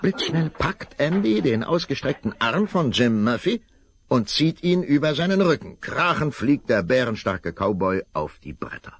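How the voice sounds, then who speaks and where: An older man narrating a children's radio play in a measured storytelling manner. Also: medium pitch, big pitch swings, no room sound. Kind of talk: scripted narration.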